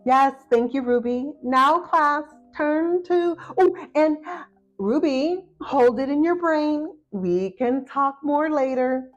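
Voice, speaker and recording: A woman's voice: sits high, takes it slowly, pitched above the performer's own voice. A woman voicing an exasperated schoolteacher giving firm, clipped instructions in a children's picture book read-aloud. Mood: patient, firm. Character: exasperated female schoolteacher losing patience. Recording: muffled audio with faint music behind.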